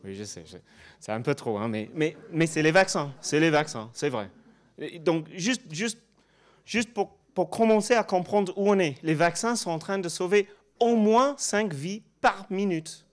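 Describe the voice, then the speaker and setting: Male professor, fairly high voice, halting and audience-engaging. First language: not French. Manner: halting non-native phrasing, engages audience with questions. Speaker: guest speaker, professor. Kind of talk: conference presentation. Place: conference room before an audience.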